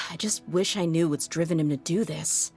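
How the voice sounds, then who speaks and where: A low-pitched, world-weary young woman mercenary. She speaks frankly, with dry wit, as if in video game story dialogue. Faint music plays behind her. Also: moderate pace. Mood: grim, troubled. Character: tough, jaded young woman desert mercenary.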